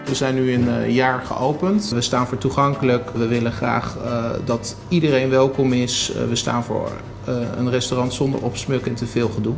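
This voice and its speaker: Gravelly-voiced man with music underneath. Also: mid-range voice, flat delivery, moderate pace, few pauses. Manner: informal, many hesitations and restarts. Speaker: restaurant owner. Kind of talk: promotional interview talk. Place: restaurant interior. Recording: music bed playing underneath.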